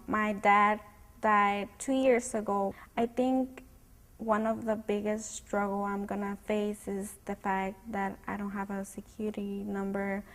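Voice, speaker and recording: A young woman from Guatemala, a student, giving hesitant personal testimony with pauses and fillers. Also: medium pitch.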